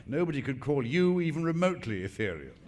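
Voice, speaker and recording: Witty british husband in a drawing room comedy, middle aged, dry and flippant, very animated pitch. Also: male voice, fairly quick, slight background noise. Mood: droll, breezy. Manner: dry, urbane, teasing, articulate. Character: witty, flippant, urbane remarried English husband. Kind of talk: stage comedy radio acting.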